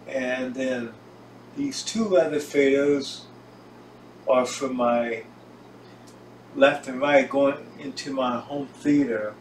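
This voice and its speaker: An older man giving a casual, halting tour while filming, speaking in short pieces. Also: medium pitch. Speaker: home recording hobbyist presenting own gear. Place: home recording room, indoors. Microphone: camera on tripod, some distance away. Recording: roomy echo, distant mic, hissy background.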